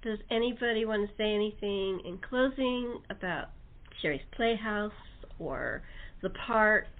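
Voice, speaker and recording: Woman, talk host, casual rambling delivery, unhurried pace, thin phone-like sound. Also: mid-range voice. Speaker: host and radio-play producer. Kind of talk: casual panel interview chat. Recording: phone-like narrow-band sound.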